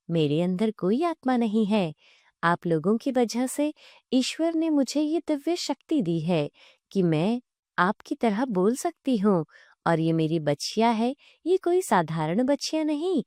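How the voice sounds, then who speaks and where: Woman voicing a gentle, slow-speaking magical cow. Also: a little high, no echo, studio quality. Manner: reverent, reassuring, formal. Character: gentle, grateful magical talking cow, a new mother.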